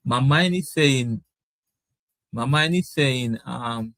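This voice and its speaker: A man with a Caribbean English accent hosting a sports debate show, animated and repeating his words. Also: medium pitch, takes it slowly, no room sound, clean studio sound. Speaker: show host. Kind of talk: lively sports debate talk.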